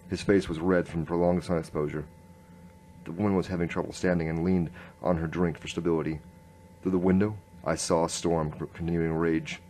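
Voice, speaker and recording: Guy doing a deadpan noir inspector, really low voice, weary narration, noisy background. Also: close to an everyday voice. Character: world-weary, wry, deadpan male noir police inspector. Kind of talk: scripted noir radio drama narration.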